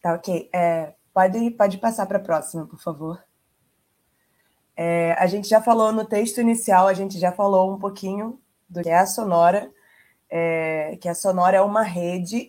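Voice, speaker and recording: Woman from brazil, very slow careful talk, video call presentation, medium pitch. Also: a little mellow. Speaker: presenter for an arts network. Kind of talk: presenting in a virtual meeting.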